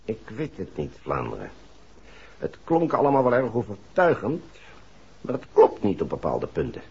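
An actor playing a polite, somewhat hesitant gentleman in a 1960s radio drama. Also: lowish voice, wildly swooping pitch, normal speed, vocal fry, breathy edge, exaggerated voice. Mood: polite, concerned. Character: polite, hesitant gentleman seeking a detective's help. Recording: muffled hissy vintage radio recording.

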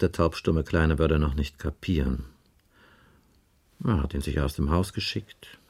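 An actor playing a blunt, world-weary police inspector in a radio crime drama, speaking in a matter-of-fact, sardonic and deliberate way. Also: male voice, sits low, creaky, darkish tone, slightly rough, exaggerated voice. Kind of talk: 1980s German radio crime drama acting.

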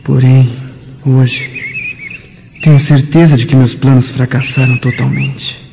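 A man's voice: mid-range voice, steady tone, measured pace, vocal fry, dark tone. Lovesick young nobleman, cavernous reverb. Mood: melancholy longing. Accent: Brazilian. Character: jealous, lovesick elder brother, young Roman nobleman. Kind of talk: Brazilian radio drama acting. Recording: muffled, long hall reverb, music.

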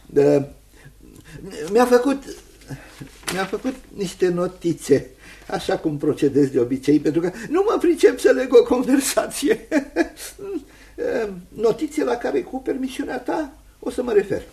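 A man playing a kindly, awkward elderly guardian and lawyer in a radio drama, speaking formally and haltingly with many repetitions. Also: very high voice, very animated, creaky, darkish tone, exaggerated voice. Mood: awkwardly genial.

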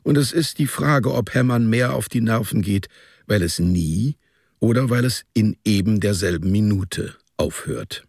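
A man narrating a radio drama as a literary story, in a measured, precise storytelling manner. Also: fairly low pitch, a little mellow, husky, no room sound, clean studio sound.

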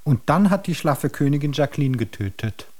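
Casting: male narrator for a radio play, raspy mid-pitched voice, lively storytelling. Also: moderate pace.

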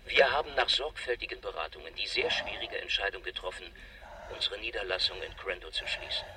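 A man's voice: sits low, expressive, fairly quick, crowd noise. An actor playing a cold, pompous company spokesman reading a curt, rehearsed press statement on the radio in an audio drama. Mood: rehearsed, lofty. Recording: distant radio broadcast with faint noise.

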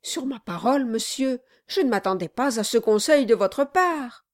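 Lady doing a grovelling lawyer guy from a storybook, all flattery and pleading, voice pitched up. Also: moderate pace, natural voice. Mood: fawning. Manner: obsequious, deferential, pleading. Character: servile, flattering male lawyer cowed by his patron. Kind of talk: dramatized audiobook reading.

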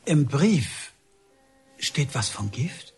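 An actor playing a dutiful, stubborn official in a radio drama, speaking stiffly in clipped, insistent phrases. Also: male voice, mid-range voice, very animated, very slow, darkish tone, slightly rough, soft background music, exaggerated voice. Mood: puzzled, uneasy. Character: dutiful, stubborn male official delivering orders.

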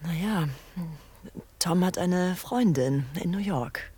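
A woman's voice: on the low side, expressive, slow pace, dark tone, slightly rough, exaggerated voice. An actress playing a cool, arrogant young sportswoman in a radio drama, blasé and knowingly teasing. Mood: gossipy, conspiratorial.

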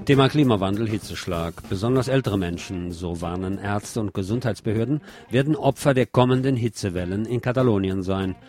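A man's voice: on the low side, a little fast, few pauses, over music. A man presenting radio news, reading from a script in a narrated style.

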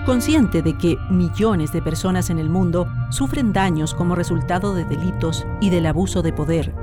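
A woman with a Chilean accent reading solemn scripted narration for a radio documentary drama. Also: lowish voice. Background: music.

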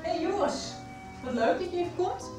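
An actress playing an ailing, lonely schoolteacher in a radio soap, speaking in an intimate, probing and hesitant way. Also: female voice, very high-pitched, expressive, normal speed, over music. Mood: wry, welcoming. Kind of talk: Dutch radio soap acting.

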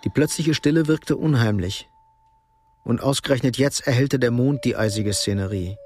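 A man's voice: mid-range voice, normal speed, a little mellow. Sober male radio drama narrator.

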